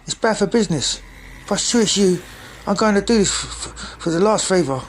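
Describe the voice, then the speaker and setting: A man voicing a pushy young male street dealer, talking in rough street slang. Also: high voice, expressive, fairly quick, a little hiss or hum, pitched above the performer's own voice. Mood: scolding.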